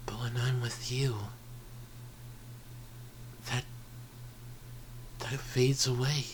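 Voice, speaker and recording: A man playing an earnest, vulnerable high-school boy in an intimate ASMR roleplay, speaking haltingly and sincerely. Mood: tender, nervous. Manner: halting, hesitant, sincere, often trailing into breathy murmurs. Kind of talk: ASMR roleplay audio drama, intimate confession. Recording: noisy home recording with ambience.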